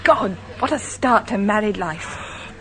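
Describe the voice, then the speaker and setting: A jealous, sarcastic young bride. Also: female voice, medium pitch, expressive, takes it slowly. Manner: sharp, sarcastic. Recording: faint hiss of an old radio broadcast.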